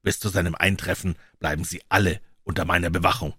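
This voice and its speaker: A man voicing a cool, commanding detective who speaks calmly, briskly and with authority. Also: deep voice, husky, dry recording, clean studio sound.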